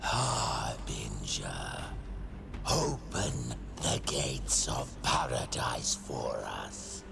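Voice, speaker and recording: Menacing game monster, cavernously deep, crackling growl, dragging speech, music behind. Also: male voice, sing-song, drawn-out words, exaggerated voice. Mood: menacing, reverent. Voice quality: gravelly, creaking growl. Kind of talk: video game character acting.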